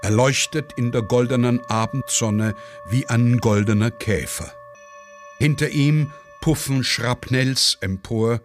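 A man narrating a scripted radio play in a deliberate, measured manner. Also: medium pitch, soft background music.

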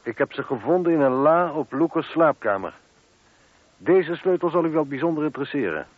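A man's voice: on the high side, expressive, brisk. A man acting a methodical police inspector in a Dutch radio play. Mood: pressing. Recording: dull, muffled old radio recording.

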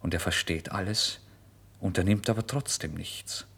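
A starving, feverish man with a lowish voice, proud and volatile. He tells his own story as a dramatic literary radio monologue. His delivery is theatrical and intense, able to move between hushed confession and forceful declaration. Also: normal speed, gravelly, slightly rough, exaggerated voice. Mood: tense recounting. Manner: theatrical, intense, swinging from hushed to loud outbursts. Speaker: first-person narrator.

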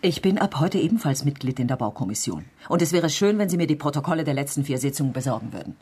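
Low, very husky, assertive female politician. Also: lively intonation, quick pace, mellow, full character voice. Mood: firm. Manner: firm, direct. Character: assertive newcomer female politician under pressure. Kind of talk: radio drama acting.

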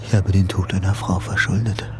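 Actor as low-voiced obsessed man muttering to himself. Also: level tone, brisk, over music, close to an everyday voice. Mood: shaken, despairing. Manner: murmuring to himself, often half-whispered. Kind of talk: radio drama inner monologue.

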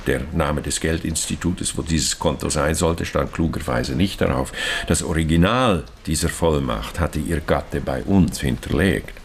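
A courteous Swiss banker in a radio crime play. His voice is extremely low and gravelly, and he picks his words formally, with fussy hesitations. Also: a little hiss or hum, heavily acted. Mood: measured, explanatory. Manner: formal, circumlocutory, hesitant with pauses. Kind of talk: radio crime drama acting.

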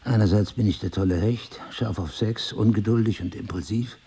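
An actor playing a bewildered, skeptical husband with amnesia, wryly self-mocking and probing in a naturalistic relationship drama. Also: male voice, lowish voice, moderate pace, warm tone, slightly rough. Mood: wry, puzzled. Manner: probing, sardonic, questioning. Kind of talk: two-hander relationship drama acting, naturalistic.

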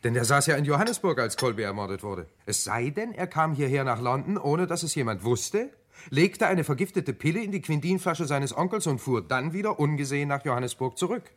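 A man's voice: fairly high pitch, expressive, a little fast. An actor playing an eager, deferential British police assistant in a German radio crime drama, reporting to his superior in a colloquial, chatty way. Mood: dutiful, eager.

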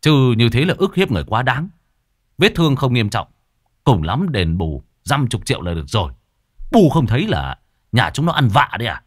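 A man's voice: medium pitch, very animated, moderate pace, dry recording, studio quality, pitched above the performer's own voice. A man voicing a hot-headed young villager who rages that he is being bullied. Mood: indignant. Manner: indignant, raging.

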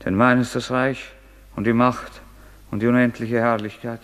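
An actor playing a fanatical man who recites a grandiose creed in measured, incantatory phrases in a radio play. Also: mid-range voice, flat delivery, a bit bright, natural voice. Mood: solemn, exalted. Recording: long cavernous reverb.

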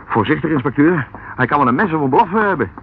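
An excitable policeman sidekick in a radio drama, wary and colloquial. His pitch jumps about in lively swings. The sound is thin and band-limited with clear noise. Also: fast. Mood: alarmed. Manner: colloquial, exclaiming. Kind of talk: radio play acting. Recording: narrow, noisy old radio recording.

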